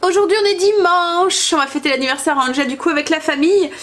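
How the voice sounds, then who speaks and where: A woman's voice: extremely high pitch, fast talker, a bit bright, slight background noise. A woman in her thirties with a stuffy nose from a cold, chatting casually while cooking at home, hesitant with many fillers. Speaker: family vlogger. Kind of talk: casual cooking vlog chat. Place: home kitchen.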